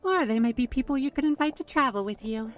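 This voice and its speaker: A woman's voice: high-pitched, fast talker, recorded from a distance, faint music behind, lightly acted. A woman playing a playful, proud young witch who talks in a teasing, theatrical way in a fantasy audio drama. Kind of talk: fantasy audio roleplay acting.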